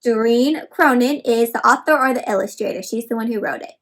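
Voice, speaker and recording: A woman's voice: on the high side, brisk, fairly bright tone. A woman hosting an interactive read-aloud for children, speaking expressively and instructively and often putting questions to her young listeners.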